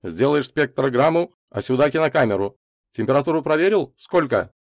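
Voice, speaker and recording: A man voicing a brisk, fussy old professor in an audiobook story, excitable and full of hurried questions. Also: mid-range voice, dry recording, clean studio sound, voice pushed up, lightly acted. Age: older. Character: thick-moustached stocky old professor, brisk, fussy meteorite expert.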